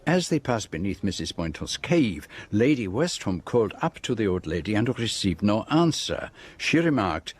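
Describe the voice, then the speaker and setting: An actor playing a shrewd, precise Belgian detective with a French-speaking accent, formally laying out a case in a radio drama. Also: male voice, lowish voice, sing-song, breathy edge. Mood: measured, assured. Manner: measured, precise, formal explanation. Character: shrewd, precise, theatrical Belgian detective, middle-aged man.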